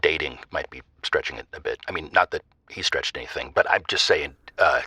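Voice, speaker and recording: Smug flirty guy phoning a radio play, rock-bottom pitch, rough-edged, hesitant innuendo. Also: brisk, pushed and bright, no room sound, exaggerated voice. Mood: smug, catty.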